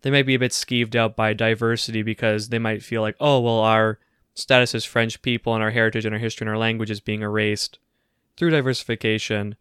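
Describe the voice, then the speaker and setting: A man's voice: on the low side, little pitch movement, a little fast, fairly bright tone, clear, resonant tone, no echo, studio quality. A man co-hosting a casual political podcast, talking in a conversational, hedging way with filler phrases. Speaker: podcast co-host.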